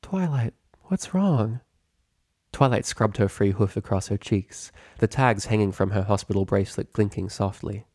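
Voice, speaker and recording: A man's voice: on the low side, little pitch movement, moderate pace, few pauses, darkish tone, no echo, studio quality. A man reading a story aloud as narrator in a scripted narration style. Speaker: narrator reading fan fiction.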